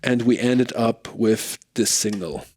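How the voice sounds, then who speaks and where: A man reviewing bass pedals in a casual demo, pausing with fillers and often asking "right?". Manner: hesitant, many fillers and tag questions. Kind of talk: casual demo talk between playing. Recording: bass playing behind voice. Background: bass guitar music.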